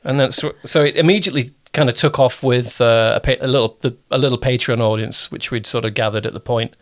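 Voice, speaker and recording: Male interviewer, mid-pitched voice, informal and chatty, circles back and corrects himself mid-sentence. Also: steady tone, fast talker. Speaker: interviewer and producer. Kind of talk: casual interview chat.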